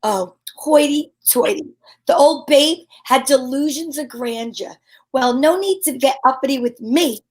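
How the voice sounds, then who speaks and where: A woman's voice: high-pitched, unhurried. A woman playing a wry, self-assured private detective who narrates her case in an amateur old-time radio mystery. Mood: sardonic. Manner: wry, sassy, slangy. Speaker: lead actress and narrator.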